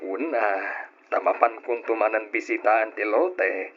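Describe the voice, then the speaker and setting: A man playing a smooth-talking, pushy family man in an old Ilocano radio drama, on a dull recording. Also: on the low side, a little slow, slight background noise, natural voice. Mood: coaxing.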